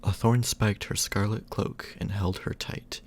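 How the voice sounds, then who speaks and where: Slow, low-voiced man telling bedtime stories. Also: little pitch movement. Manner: affectionate, soothing. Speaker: ASMR roleplay performer and storyteller, as a boyfriend. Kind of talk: ASMR bedtime storytelling.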